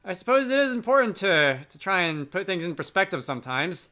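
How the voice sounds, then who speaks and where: A man voicing a polite, thoughtful young princess from a game, with formal, gentle phrasing. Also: high-pitched, very animated, fairly quick, soft background music, full character voice. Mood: earnest.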